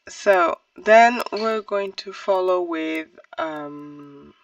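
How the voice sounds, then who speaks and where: A book reviewer talking casually and thinking aloud, in a halting, hesitant and self-correcting way. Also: mid-range voice, clean tone, no room sound, far from the mic, poor audio. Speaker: book reviewer on own channel.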